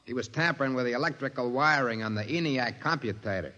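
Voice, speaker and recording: Guy doing a plain-talking guard on the stand, sharp voice, echoey lo-fi old radio. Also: mid-range voice, fast, lightly acted. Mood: matter-of-fact. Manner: plain, matter-of-fact testimony. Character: plainspoken male security guard. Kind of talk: 1950s radio drama acting. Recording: dull old broadcast with long reverb.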